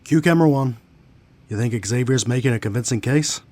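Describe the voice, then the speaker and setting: An actor playing a sceptical onlooker in an audio drama, talking in a casual, wry way. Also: male voice, medium pitch, moderate pace, darkish tone, lightly acted. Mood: wary.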